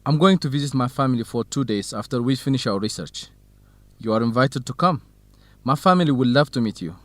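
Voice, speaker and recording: A man playing a warm, helpful young student researcher in an educational radio drama. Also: mid-range voice, lively intonation, fairly quick, no echo, lightly acted. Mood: warm, hospitable.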